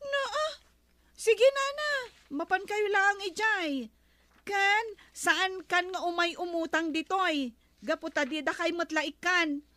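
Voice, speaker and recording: A woman with an Ilocano accent playing a sassy, firm village shop girl in a comedy radio drama, with expressive delivery. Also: very high-pitched, takes it slowly, no room sound, heavily acted. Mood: defiant.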